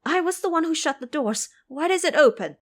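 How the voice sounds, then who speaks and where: A woman's voice: very animated, normal speed. A woman voicing a young Taoist boy attendant who is indignant and accusing. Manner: shrill, accusing.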